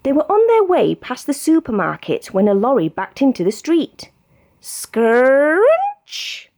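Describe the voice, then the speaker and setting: A woman with a British accent reading a children's storybook aloud in an expressive narrating style. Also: sits high, takes it slowly. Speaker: storybook reader.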